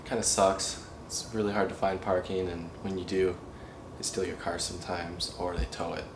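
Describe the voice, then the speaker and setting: A young man with a lowish voice chats casually and wryly about his day. The sound is echoey, with a clear hiss of noise behind him. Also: flat delivery, normal speed. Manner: wry, easygoing. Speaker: road trip traveler. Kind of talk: casual chat. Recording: echoey, noisy street audio.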